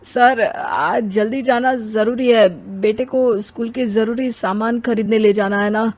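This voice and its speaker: Woman acting out a grumbling, raised-pitch, impatient father. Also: fairly even intonation, a little slow. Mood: pleading. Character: irritable, tired office-worker father, snappy and impatient. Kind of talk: cartoon family-story acting.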